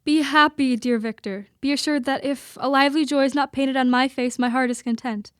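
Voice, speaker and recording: Young woman acting as gentle loving bride, radio play, high pitch, sweet formal diction, dry close sound. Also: fairly even intonation, fairly quick, no room sound, natural voice. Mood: serene. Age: young adult. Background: music underscoring.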